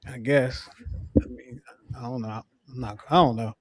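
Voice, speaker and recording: A man's voice: mid-range voice, steady tone, a little slow, husky, no echo. A man hosting a casual sports podcast, laid-back and teasing, slightly losing his voice. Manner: laid-back, teasing, repeats sign-off phrases. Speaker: podcast host.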